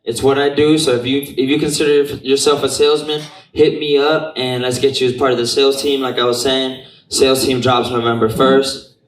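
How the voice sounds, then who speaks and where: A man's voice: mid-range voice, flat delivery, a little fast, slight background noise. Profane, casual man speaking in a roomy venue. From Puerto Rico. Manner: loose and profane, street slang. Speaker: marketing business owner giving a talk. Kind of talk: casual talk to an audience. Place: event venue. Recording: roomy sound from a distance.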